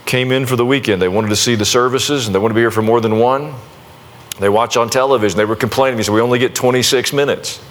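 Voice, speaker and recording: A man preaching a sermon at a middle pitch in a folksy, conversational way. He often stops and restarts his sentences and makes fun of himself. A faint hiss of background noise sits under his voice. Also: fairly even intonation, quick pace. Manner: conversational, folksy, self-deprecating with many restarts. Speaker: pastor. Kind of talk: sermon and prayer to a congregation.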